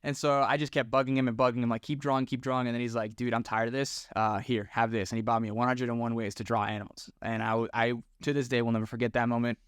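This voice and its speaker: A man from Florida talks fast in a smooth, clear voice, easygoing and full of slang. His recording is close and dry. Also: mid-range voice, no room sound. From Orlando, Florida, US. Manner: relaxed, slangy, talks with friendly bro energy. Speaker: podcast guest, tattoo shop owner. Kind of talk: casual storytelling chat.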